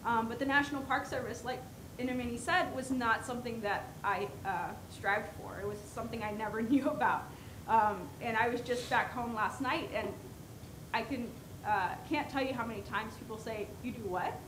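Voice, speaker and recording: A woman's voice: a little high, normal speed. A woman on a conference panel, speaking hesitantly with many fillers. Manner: hesitant, many ums, laughs lightly. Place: conference session room. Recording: roomy session recording with noise.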